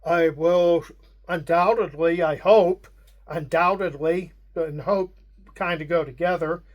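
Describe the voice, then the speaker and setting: A man with a Pittsburgh accent, hosting a casual unboxing and walking through the contents in a measured way with frequent pauses. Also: fairly high pitch, fairly even intonation, muffled recording. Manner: unhurried, measured, with frequent hesitations. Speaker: wargame channel host.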